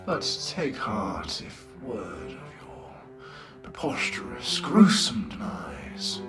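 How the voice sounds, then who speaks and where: A man voicing an eloquent, sadistic robot leader of a hit squad in a comic dub, with theatrical, menacingly polite monologues. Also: mid-range voice. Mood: coldly menacing. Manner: theatrical, menacingly polite, soliloquizing, dropping to whispers.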